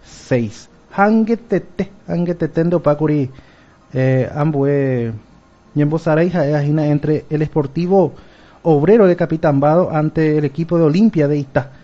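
A man's voice: on the high side, unhurried, dark tone, lo-fi sound. Paraguayan man reading football scores. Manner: reads out match results, mixing Guarani into Spanish. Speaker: radio sports presenter. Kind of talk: radio news chat.

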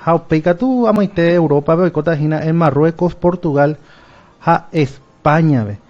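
A man's voice: fairly high pitch, moderate pace, a little mellow, lo-fi sound. A man with a Paraguayan accent presenting sports results on radio, mixing Guarani into his Spanish. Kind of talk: radio news chat.

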